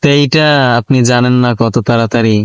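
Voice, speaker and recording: A man's voice: medium pitch, steady tone, fairly quick, clear, resonant tone. A man with a Bangladeshi accent chatting casually as a prank call host, teasing and pressing with questions. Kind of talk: casual teasing phone chat in colloquial Bengali.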